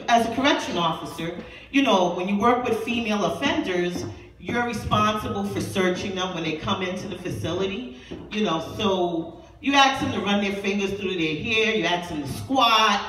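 A woman's voice: medium pitch, moderate pace. A woman in her fifties doing a raunchy stand-up set in a small club, brash and profane, talking casually with the crowd. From New York. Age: 50s. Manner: brash, profane, conversational, with frequent asides to the crowd. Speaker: stand-up comedian. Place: small dim club with a low stage. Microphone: handheld mic, filmed by a far camera in the crowd. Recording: roomy, distant club audio with loud crowd noise.

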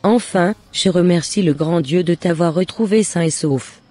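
A man's voice: sits high, moderate pace, darkish tone, dry recording. A man voicing a devout elderly mother in a cartoon, speaking in a pious, formal way. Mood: relieved, devout. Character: devout, relieved elderly mother of grown daughters. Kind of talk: scripted cartoon dialogue. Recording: music under the voice.

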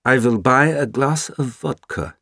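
A man voicing a dour, world-weary German traveller who speaks curtly and gruffly. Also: mid-range voice, a little slow, fairly bright tone, dry recording, clean studio sound, pitched above the performer's own voice, exaggerated voice. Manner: curt, gruff.

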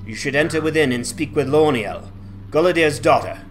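A man's voice: a little high, moderate pace, soft background music, close to an everyday voice. A man voicing a welcoming, weary ranger guard with formal, archaic speech in a fantasy game dramatization. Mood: solemn welcome.

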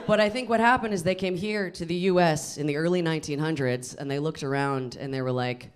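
An American woman in her thirties performing stand-up comedy in an animated, emphatic way into a handheld microphone. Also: sits low, brisk, clean tone. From suburbs of Boston, United States. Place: small indoor comedy venue with audience. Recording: live room with loud audience laughter. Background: audience laughter.

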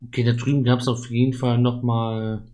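A man giving casual commentary as he streams a game, halting and thinking aloud, with game music behind him. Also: mid-range voice, little pitch movement, dark tone.

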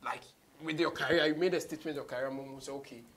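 A man's voice: mid-range voice, steady tone, fast talker, fairly bright tone. A man with a Ghanaian accent hosting a casual interview, mixing English and Twi. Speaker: interviewer, radio show host. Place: small radio studio office.

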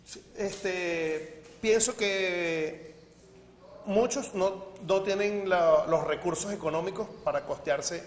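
A man in his thirties with a Caracas accent, answering a TV interviewer in halting phrases full of fillers. His voice is slightly high. The studio sounds roomy with long reverb and faint hiss. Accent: Caracas Venezuelan. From Venezuela. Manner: hesitant, many fillers. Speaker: guest businessman. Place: TV news studio.

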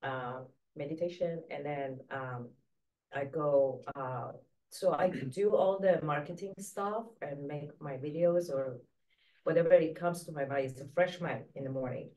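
A woman with a Persian accent speaks in a fairly low voice. She tells stories in a chatty, conversational way and slips into quoted dialogue. The microphone sits at a distance in the room. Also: unhurried, warm tone, lo-fi sound. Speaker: guest, real estate agent. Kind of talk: casual conversational storytelling. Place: small indoor studio set, seated.

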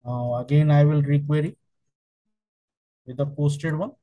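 A man working as a software trainer, narrating a step-by-step tutorial in halting, piecemeal phrases. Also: on the high side, fairly even intonation, muffled recording.

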